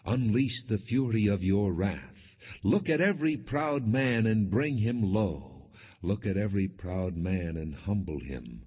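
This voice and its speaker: A man reading scripture as an audiobook narrator in a solemn, measured, declamatory manner. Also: fairly low pitch, animated, husky. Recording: muffled, narrow phone-like sound.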